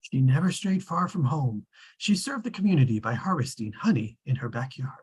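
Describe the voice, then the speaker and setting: A man reading as a wry, measured storybook narrator with a husky, rough-edged voice at a scripted table read. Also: medium pitch, very animated, darkish tone.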